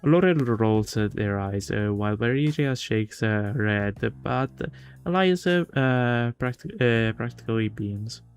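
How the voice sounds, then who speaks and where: A man's voice: mid-range voice, expressive. A man streaming a game, reading a visual novel aloud with casual commentary and often stumbling over words. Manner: halting, stumbles and repeats words while reading. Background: game music.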